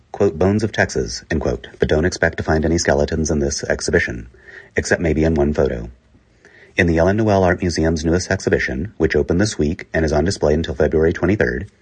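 A man reading newspaper articles aloud in a steady, unhurried way, saying the quote marks as he goes and sometimes slipping and restarting a word. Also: a bass voice, breathy edge. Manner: steady, unhurried delivery with small slips and restarts. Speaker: newspaper reader.

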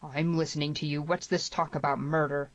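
A gruff gray-haired sheriff, as a woman narrator plays him, blunt and used to giving orders. The register is low for a woman, in a Western storybook style with a dry, dull recording. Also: flat delivery, fairly quick, no echo, lightly acted. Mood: questioning. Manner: blunt, demanding. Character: gruff, commanding gray-headed male sheriff, blunt and authoritative.

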